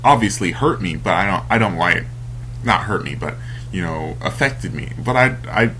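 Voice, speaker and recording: Deep-voiced young man rambling to camera. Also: lively intonation, moderate pace, pushed and bright, a little hiss or hum. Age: young adult. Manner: rambling, hesitant, many fillers and restarts. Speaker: vlogger. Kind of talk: casual confessional vlog.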